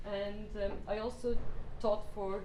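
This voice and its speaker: A woman's voice: mid-range voice, flat delivery, a little slow, mellow. A woman in her thirties from Portugal, with a Portuguese accent, giving a guest talk. She speaks with frequent fillers and restarts. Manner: many fillers and restarts, slightly non-native phrasing. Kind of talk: hesitant lecture talk. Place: lecture room. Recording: roomy hall with faint noise.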